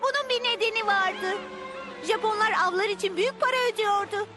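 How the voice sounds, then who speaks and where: A woman's voice: extremely high pitch, a little fast, sharp tone, soft background music. A woman voicing an earnest child reporter in a cartoon dub, giving informative accounts. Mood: earnest, indignant. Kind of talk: animated educational cartoon dub.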